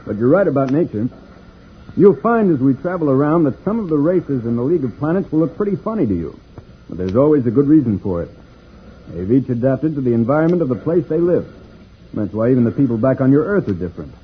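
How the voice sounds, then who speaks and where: A confident space pilot hero played by a man with a mid-pitched, animated delivery. He is patient and authoritative, heard through a narrow, faintly hissy old radio recording. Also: fast, close to an everyday voice. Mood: assured, explanatory. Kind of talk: 1950s radio serial acting.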